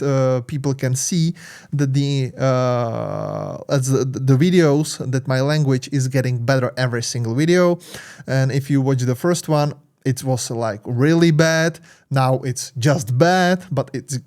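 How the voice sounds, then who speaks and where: Man with a Czech accent, halting delivery. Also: mid-range voice, darkish tone, smooth. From Czech Republic. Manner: halting, many fillers and restarts; enthusiastic. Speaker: tech channel host. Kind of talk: tutorial walkthrough, casual.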